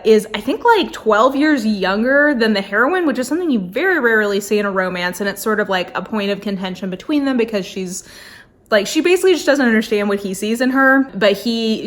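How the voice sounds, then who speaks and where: A woman with a somewhat high voice talks casually to her viewers as a book reviewer. Her delivery is effusive and informal, peppered with "like" as she goes. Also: a bit bright. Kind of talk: casual chatty book talk to camera.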